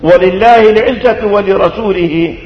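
An older man with a Syrian Arabic accent preaching in a mosque, teaching scripture in a didactic, measured way with deliberate phrasing. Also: high voice. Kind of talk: mosque sermon explaining scripture. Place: large reverberant mosque. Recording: dull echoey hall recording, long reverb.

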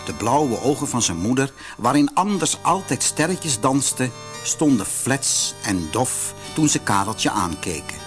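A man reading a children's fairy tale as scripted narration, in an expressive, measured storybook manner. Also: mid-range voice, faint music behind.

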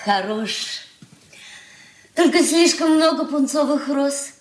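An actress playing a cool, capricious young fiancée in a radio drama, curt and commanding with a languid stage delivery. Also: female voice, sits high, lively intonation, slow pace, slight background noise. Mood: cool, teasing. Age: young adult. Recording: roomy studio echo.

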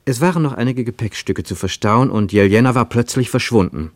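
A man reading scripted literary narration for a radio play in a measured, precise and expressive storytelling manner. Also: mid-range voice, no echo.

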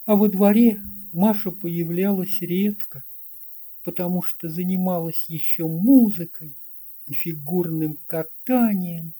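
A narrator reading a children's story in an expressive, measured storytelling manner. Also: mid-range voice. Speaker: audiobook narrator.

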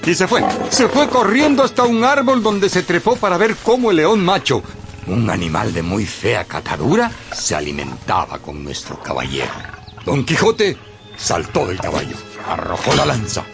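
A man's voice: fairly high pitch, big pitch swings, moderate pace, gravelly, over music. A man narrating a radio story in a theatrical, scripted style with suspenseful phrasing.

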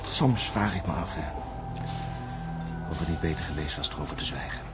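An actor playing an embittered, suspicious astronaut in a science-fiction radio drama, emphatic and sardonic, with brooding hesitations. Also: male voice, a bass voice, moderate pace, music in the background. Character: embittered, suspicious male astronaut insisting on his alarming sighting.

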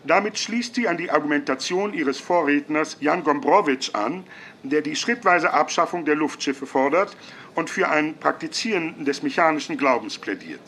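A man's voice: fairly high pitch, lively intonation, normal speed, fairly bright tone, a little hiss or hum. A man playing a formal news announcer in a radio play.

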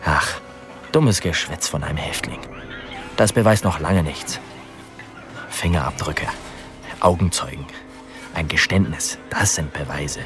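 A man's voice: extremely low pitch, a little slow, long pauses, over music. An actor playing a scheming male murder suspect, brooding in a bitter inner monologue that turns to whispers. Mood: bitter, anxious. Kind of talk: radio drama acting.

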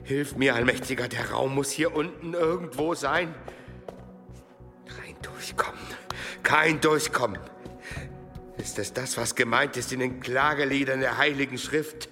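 An actor playing a frightened, pious medieval monk in a radio play, muttering breathless pleas and prayers. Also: male voice, mid-range voice, a little slow, sharp tone. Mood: panicked. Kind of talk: German radio play acting. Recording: long stone-hall reverb, music bed.

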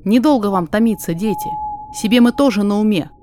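A woman's voice: medium pitch, expressive, a little fast, background noise, close to an everyday voice. A woman voicing a bold, commanding exorcist in battle, speaking tersely in a dramatic verse audio story. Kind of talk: dramatic verse podfic reading.

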